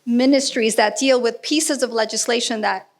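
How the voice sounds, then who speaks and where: A woman's voice: fairly high pitch, steady tone, moderate pace. A woman with a Colombian accent moderating a panel, asking a long question and repeating words as she looks for them. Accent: Colombian Spanish.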